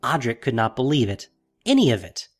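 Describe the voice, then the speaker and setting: A man narrating a scripted audio drama in an expressive, theatrical manner. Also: mid-range voice, brisk, husky, faint music behind.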